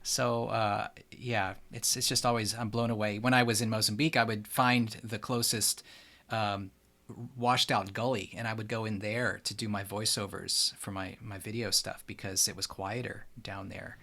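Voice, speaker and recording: A man's voice: lowish voice, little pitch movement, normal speed, gravelly, sharp tone. A man speaking as a podcast host in a casual, conversational interview style.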